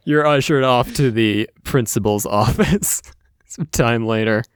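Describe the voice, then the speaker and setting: Male game master for casual tabletop narration: unhurried, halting delivery, very dry close sound. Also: medium pitch, clear, resonant tone, no room sound. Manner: halting, informal.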